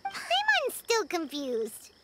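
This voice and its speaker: Squeaky, excitable little fairy. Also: female voice, slow pace, a little hiss or hum, heavily acted. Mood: confused. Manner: exclamatory, refers to herself in third person. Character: tiny floating childlike fairy companion, excitable, easily confused. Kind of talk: video game voice acting.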